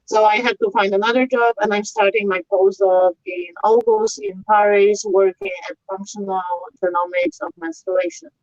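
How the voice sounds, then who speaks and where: A woman's voice: mid-range voice, expressive, normal speed, few pauses, dry recording. A young woman from Poland, talking informally as a guest researcher on an online panel. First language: Polish. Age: young adult. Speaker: guest, early career researcher. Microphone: video call. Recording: dull, lo-fi video call audio.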